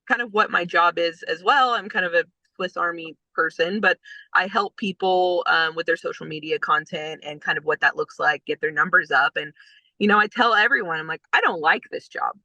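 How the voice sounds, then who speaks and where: A woman from Texas, a band member, chatting casually in an interview with an animated, laughing manner. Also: on the low side, no room sound. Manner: animated, laughs between phrases, chatty fillers. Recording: slightly dull lo-fi sound.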